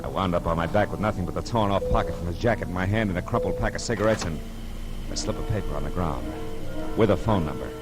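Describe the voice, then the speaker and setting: A man's voice: low-pitched, quick pace, close to an everyday voice. A man with an American accent playing a wisecracking, hard-boiled private detective in a 1950s radio drama, tough, clipped and sardonic. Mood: brisk narration. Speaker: lead actor and narrator. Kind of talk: 1950 radio detective acting. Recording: old radio broadcast with loud music behind.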